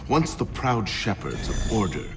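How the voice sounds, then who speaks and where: An actor narrating as a solemn chronicler of a fallen order. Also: male voice, on the low side, sing-song, background noise. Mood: grave. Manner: grave, measured narration. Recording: cavernous reverb under loud score.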